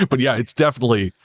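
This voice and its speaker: A man's voice: medium pitch, no room sound, studio quality. A man speaking as a podcast guest, telling anecdotes casually in a wry manner. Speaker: podcast guest, ghost show host.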